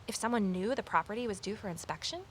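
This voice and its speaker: A woman's voice: mid-range voice, quick pace, fairly bright tone, slight background noise, close to an everyday voice. A woman playing an eager, nosy former journalist turned sleuth, telling her story in earnest first-person audio drama style. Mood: questioning.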